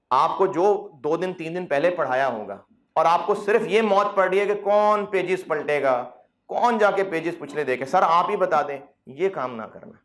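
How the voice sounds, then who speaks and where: Man, slightly high voice, classroom lecture, chatty and coaxing. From Pakistan. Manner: conversational, coaxing, teasing with mock quotes. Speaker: accounting lecturer. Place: classroom with students present.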